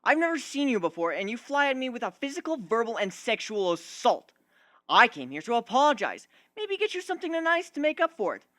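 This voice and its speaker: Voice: mid-range voice, very animated, fairly quick, bright, forceful tone, dry recording, clean studio sound, voice pushed up. A narrator voicing a wounded, sarcastic young male griffin who rants emphatically in a storybook reading. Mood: indignant. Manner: ranting, emphatic, aggrieved.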